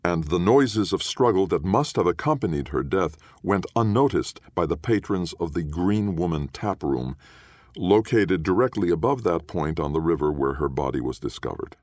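A man reading literary fiction as an audiobook narrator, in a measured, solemn storytelling manner. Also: low voice, lively intonation, a little mellow, dry recording, clean studio sound.

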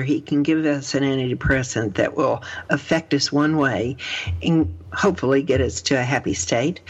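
A woman radio host talks in an unhurried, encouraging way, in a conversational interview style. Her voice is a little husky and the audio is somewhat dull and lo-fi. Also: low-pitched. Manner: encouraging, often murmurs agreement.

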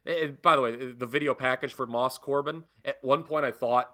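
A young adult man co-hosting a podcast, ranting with animated disbelief in casual chat. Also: mid-range voice, normal speed. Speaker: podcast co-host. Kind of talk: casual wrestling review chat.

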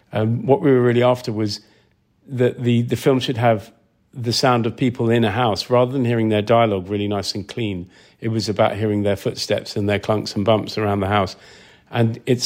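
A man being interviewed as a film sound designer, explaining things conversationally in a thoughtful, halting way with repeated words. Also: fairly low pitch, darkish tone.

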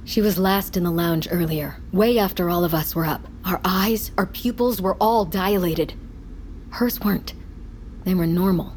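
An actress playing a guarded, suspicious survivor in an audio fiction drama. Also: female voice, lowish voice, a little slow, darkish tone, a little hiss or hum, lightly acted.

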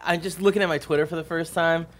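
A man's voice: on the high side, fast. A man, the show's producer, defending himself in a casual comedy chat. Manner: defensive, deadpan.

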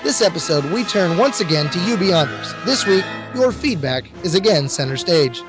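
Hoarse, higher-pitched male podcast host. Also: lively intonation, normal speed. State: hoarse, scratchy voice. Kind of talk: scripted podcast introduction. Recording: dull lo-fi audio with music behind.

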